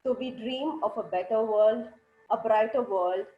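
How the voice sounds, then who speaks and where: A woman, a club president with a hoarse, coughing throat, giving cheerful storytelling feedback at an online meeting. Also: fairly high pitch, unhurried, echoey room, narrow, tinny audio, soft background music.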